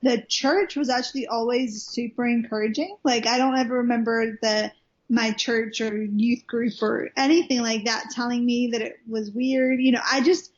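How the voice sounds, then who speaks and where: A woman's voice: a little high, steady tone, moderate pace. A young woman from Wales with an American-sounding accent, telling her story as a guest in a casual interview, full of fillers and restarts. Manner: chatty, many fillers and restarts. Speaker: guest minister. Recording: muffled lo-fi recording.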